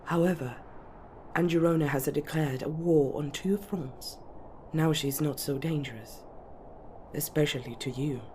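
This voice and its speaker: Woman acting elven noblewoman, fantasy roleplay, mid-low mellow voice, measured, formal, earnest, slight background noise. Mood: grave, assured. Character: composed, strategic elven noblewoman rallying allies.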